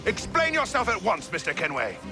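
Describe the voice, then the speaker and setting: An actor playing a gruff, resentful sailing-ship captain in a video game, stern, blunt and formal. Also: male voice, high voice, huge pitch swings, fairly quick, music in the background, exaggerated voice. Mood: angry, commanding. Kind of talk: video game cutscene acting.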